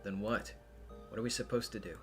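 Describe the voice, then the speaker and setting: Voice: mid-range voice, brisk, close to an everyday voice. A performer voicing a blunt, impatient but awkwardly concerned teenage boy in a visual novel reading. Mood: irritated, blunt. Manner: blunt, gruff, slangy. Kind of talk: visual novel character acting. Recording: music behind the voice.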